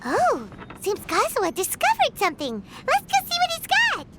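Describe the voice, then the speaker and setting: Squeaky, very high little fairy girl. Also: moderate pace, slight background noise, exaggerated voice. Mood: curious, excited. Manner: speaks of self in third person, squeaky, expressive. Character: tiny excitable childlike fairy girl companion. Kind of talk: video game voice acting.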